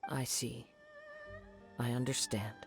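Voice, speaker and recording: Young wandering swordsman, middle register, calm, grave, measured anime game delivery. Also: medium pitch, vocal fry, faint music behind. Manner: composed, formal, measured. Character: young wandering samurai, male, calm, poetic, perceptive.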